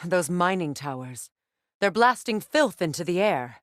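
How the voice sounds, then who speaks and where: A woman playing a proud, guarded warrior goddess in a video game, speaking in a measured, commanding, solemn manner. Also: on the low side, animated, no room sound, studio quality. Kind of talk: dramatic video game acting.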